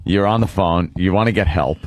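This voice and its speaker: A man's voice: deep voice, a little fast, no echo. An American man hosting a radio show with wry, mocking, casual comic banter and some swearing. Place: radio studio.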